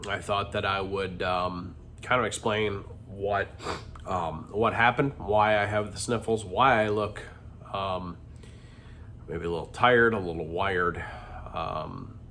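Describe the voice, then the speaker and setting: A man's voice: low-pitched, slow pace, bright, forceful tone, slight background noise. A middle-aged man with a stuffy, congested nose, talking candidly in a rambling vlog confession full of ums and restarts. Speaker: vlogger.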